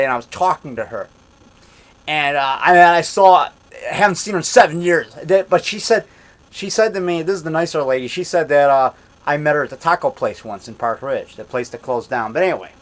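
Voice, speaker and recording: A man in his fifties telling a rambling story in a loud voice, repeating himself and getting worked up as he goes. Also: fairly high pitch. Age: 50s. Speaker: monster movie maker telling a story. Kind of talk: casual storytelling monologue.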